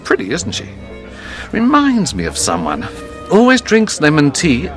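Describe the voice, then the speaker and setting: Gossipy bartender, lively intonation. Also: male voice, a little high, measured pace, soft background music, full character voice. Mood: curious, teasing. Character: gossipy, observant male hotel bartender. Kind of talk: detective radio drama acting.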